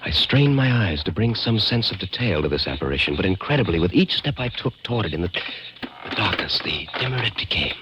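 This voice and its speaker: A man acting a grave, haunted narrator in old radio suspense style, deliberate and ominous. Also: sits low, very animated, background noise. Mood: eerie suspense. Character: grave, haunted man narrating his own eerie experience. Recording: muffled vintage radio recording with music.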